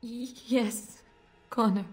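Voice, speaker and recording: A woman voicing a frightened noblewoman and mother in a fantasy game, halting, anxious and pleading. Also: a little high, steady tone, breathy edge. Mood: fearful pleading. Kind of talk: fantasy video game voice acting.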